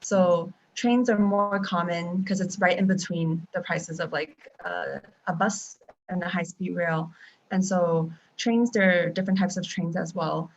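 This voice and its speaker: A woman's voice: on the low side, fairly even intonation, unhurried, slight background noise. Young woman on a lo-fi video call. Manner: casual, many ums and restarts. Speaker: traveling teacher and guest. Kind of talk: live-stream video chat. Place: home in Taiwan. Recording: dull lo-fi video call audio.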